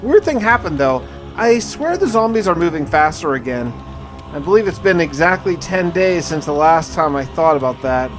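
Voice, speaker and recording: Higher-pitched man narrating a gaming video. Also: expressive, moderate pace, fairly bright tone, soft background music. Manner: conversational, journal-like storytelling. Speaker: gaming video creator narrating a survival challenge. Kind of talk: first-person game playthrough narration with light roleplay.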